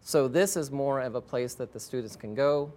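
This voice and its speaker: A man's voice: medium pitch, moderate pace, a little mellow. A man, a school educator, answering interview questions in a plainspoken way, repeating phrases for emphasis.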